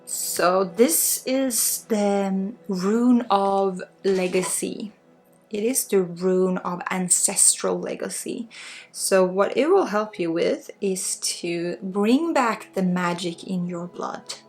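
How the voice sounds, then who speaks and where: Slow, creaky-voiced Swedish woman. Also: mid-range voice, a little mellow, soft background music. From Sweden. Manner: earnest, encouraging, halting phrasing. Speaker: spiritual guide and energy healer. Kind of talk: unscripted spiritual guidance, ASMR healing session.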